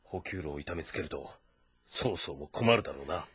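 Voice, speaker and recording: An actor playing a dignified, confident warlord in a historical game drama, speaking with weighty authority. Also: male voice, very deep voice, animated, moderate pace, fairly bright tone, husky, no room sound, full character voice. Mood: pensive, authoritative. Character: commanding warlord, confident, dignified leader of an army.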